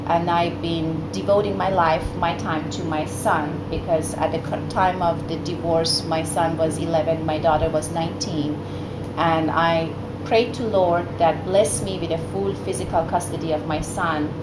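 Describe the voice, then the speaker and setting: A middle-aged woman from Iran, a therapist, giving an earnest personal talk to a group of mothers, with many fillers and restarts. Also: low-pitched. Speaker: guest speaker, therapist. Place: meeting room, indoors. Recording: echoey room with background noise.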